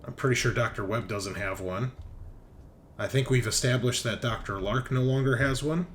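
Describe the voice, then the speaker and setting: A man's voice: medium pitch, moderate pace, a bit bright. A man working as game master, narrating a tabletop roleplaying game in a casual, improvised way. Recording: clearly noisy background.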